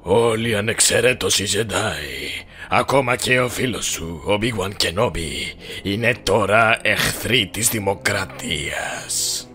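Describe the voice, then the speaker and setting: A man voicing a manipulative old Sith master in a film fan dub, croaking and deliberate with commanding menace. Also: lowish voice, expressive, bright, forceful tone, soft background music. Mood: commanding, scheming. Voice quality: gravelly, croaking.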